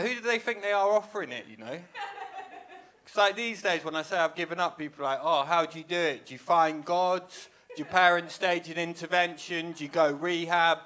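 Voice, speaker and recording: A young man from England performing a stand-up set on a small club stage into a handheld mic, with a wry, self-deprecating deadpan delivery. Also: high-pitched, a little hiss or hum. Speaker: stand-up comedian. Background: audience laughter.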